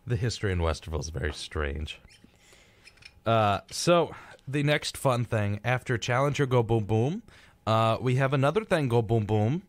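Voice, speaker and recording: A young American man co-hosting a radio show, chatting casually and reading notes with flippant, dark humour. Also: mid-range voice, expressive, normal speed, dry recording. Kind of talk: casual joking chat mixed with reading notes. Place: radio studio.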